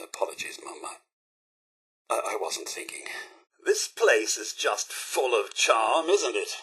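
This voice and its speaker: A loyal robot butler from a video game with a British accent. The voice is bright and slightly husky, swooping theatrically across a wide range. He speaks with fussy, deferential politeness and formal diction. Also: a little high, full character voice. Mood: flustered apology. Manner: formal, deferential, fussily courteous. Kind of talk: video game character voice lines.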